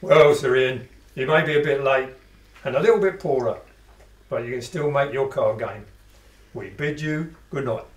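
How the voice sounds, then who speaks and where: An actor playing a calm, cheeky bandit in an amateur radio play. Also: male voice, mid-range voice, normal speed, lightly acted. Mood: wry.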